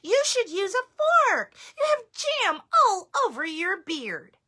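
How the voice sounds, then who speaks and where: A kindly old grandmother in a picture book, fond and gently chiding, pitched high with a bright, lively lilt, as a woman reading aloud puts her on. She talks slowly, her voice swooping up and down. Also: exaggerated voice. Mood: fond teasing. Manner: chiding yet affectionate. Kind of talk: storybook read-aloud.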